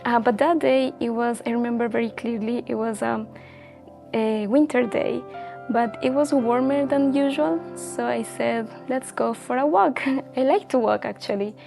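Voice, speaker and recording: A woman's voice: fairly high pitch, normal speed, vocal fry, over music. A woman in her twenties from Ecuador, speaking as a guest sharing her personal story in an earnest, reflective way with many fillers. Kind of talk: personal testimony in a casual chat.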